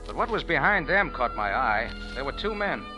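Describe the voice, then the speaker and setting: An actor playing a wry, hard-boiled American bar owner in a 1940s radio noir, deadpan and wisecracking. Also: male voice, medium pitch, moderate pace, natural voice, rough recording. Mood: wry narrating. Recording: old 1940s radio recording with music.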